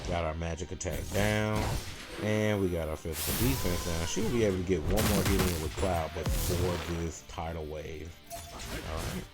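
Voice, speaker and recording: A man with a deep, low-pitched voice talks through gameplay as a guide. His delivery is casual and procedural, calling out each move as he makes it. Prominent game music plays loudly behind him. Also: moderate pace. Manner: casual, step-by-step play-by-play. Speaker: gameplay guide creator and commentator. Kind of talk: live gameplay commentary, walkthrough.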